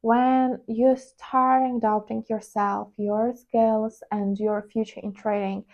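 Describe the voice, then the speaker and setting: A woman's voice: fairly high pitch, level tone, slow, a little mellow, muffled recording. A woman with a Ukrainian accent, a native Ukrainian speaker, talking candidly and reflectively to her channel's viewers, with the odd hesitant "uh". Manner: candid, confessional, with hesitant fillers. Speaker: trading vlogger. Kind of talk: personal reflective monologue.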